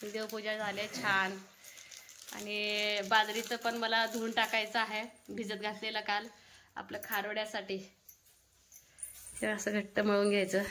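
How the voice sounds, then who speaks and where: A woman's voice: mid-range voice, monotone, unhurried, pauses often, bright, forceful tone, slight background noise. A woman with a rural Maharashtrian Marathi accent, chatting casually as she narrates a home cooking vlog. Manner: chatty, conversational. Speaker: family vlog host.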